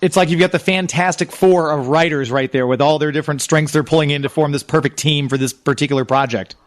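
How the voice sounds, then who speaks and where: A male radio host with a fairly high-pitched voice conducts an interview. He speaks with eager enthusiasm, in the conversational way of a keen fan. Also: fast.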